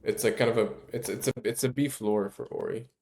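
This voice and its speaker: A man explaining his ideas in a casual discussion, halting and rephrasing emphatically as he goes. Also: lowish voice, gravelly, a little mellow. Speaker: speaker explaining a research ranking system.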